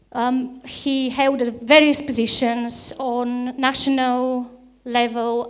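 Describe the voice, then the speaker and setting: High-voiced Bulgarian-accented woman preaching. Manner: earnest, repetitive emphatic phrasing. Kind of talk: sermon.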